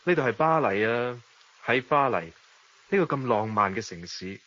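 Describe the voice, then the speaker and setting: Suave Hong Kong gentleman, muffled audio. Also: mid-range voice, lively intonation, normal speed. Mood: amused, storytelling. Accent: Hong Kong Cantonese. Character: suave worldly Hong Kong gentleman in Paris, self-possessed. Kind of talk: radio drama narration and dialogue. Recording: dull lo-fi audio, noisy background.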